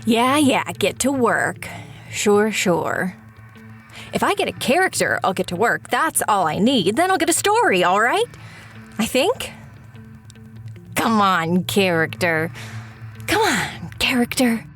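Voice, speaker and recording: A woman playing an excitable, frazzled script writer in an audio drama, muttering and hesitant in exasperation. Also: mid-range voice, slow, long pauses, soft background music, full character voice. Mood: muttering, searching.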